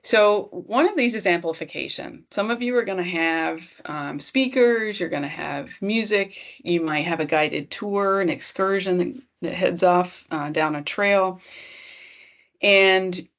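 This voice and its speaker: A woman's voice: lowish voice, unhurried. A woman presenting an online accessibility workshop in a conversational, encouraging way, speaking straight to her audience.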